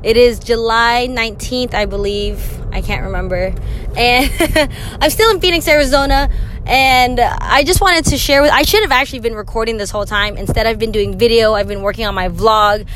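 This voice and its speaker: A woman's voice: a little high, moderate pace, fairly bright tone, slight background noise. A young woman hosting her own podcast, talking casually from a vehicle, chatty and rambling with fillers and laughs. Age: young adult. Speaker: podcast host. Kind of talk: casual solo podcast monologue.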